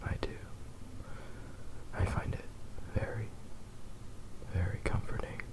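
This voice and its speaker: A man voicing a devoted, possessive fox spirit husband in an ASMR roleplay, speaking in a soft, breathy half-whisper with intimate, lingering pauses. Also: close to an everyday voice, rough recording. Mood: soothing, affectionate.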